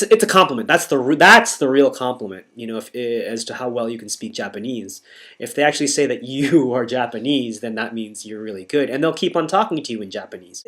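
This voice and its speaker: Halting American man vlogging casually. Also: mid-range voice. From United States. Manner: halting, self-interrupting, frequent restarts. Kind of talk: casual monologue to camera.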